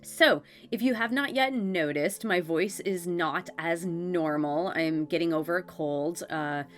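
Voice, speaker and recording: A congested woman with a stuffy head cold talks to her viewers in a low voice. She is chatty and pauses with fillers as she explains her channeling. Faint music plays behind her. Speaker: author and speaker, spiritual channeler. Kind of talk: casual talk to camera and reading aloud.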